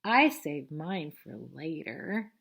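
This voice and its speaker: A woman reading a picture book aloud to children like a teacher, expressively, pausing for simple explanations and questions. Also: low voice, sing-song, fairly bright tone. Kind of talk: read-aloud storytelling with asides and questions to young listeners.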